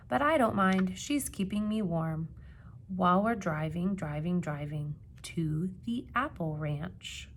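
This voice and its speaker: Woman, storybook read-aloud, teacherly, expressive emphasis, creaky voice, faint background noise. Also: fairly low pitch. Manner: expressive, dramatic gasps and emphasis, pausing to ask questions. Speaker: teacher reading a picture book aloud. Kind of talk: animated children's read-aloud with questions to listeners.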